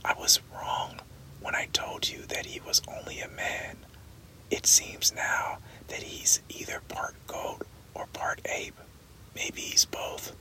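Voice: slow pace, bright, forceful tone, low-quality recording. A reader voicing a wry, learned, stout male doctor, whispered throughout. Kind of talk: whispered audiobook reading.

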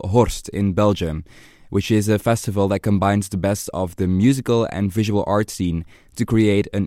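A man's voice: sits low, dark tone, no room sound, clean studio sound. A man hosting a podcast, reading scripted narration in an explanatory way. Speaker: podcast host.

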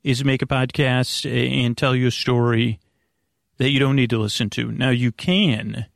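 A man with a creaky, gravelly voice, hosting a podcast in a meandering, halting bedtime monologue that keeps interrupting itself. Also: mid-range voice, steady tone, no room sound, studio quality. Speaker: podcast host. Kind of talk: rambling bedtime monologue to help listeners sleep.

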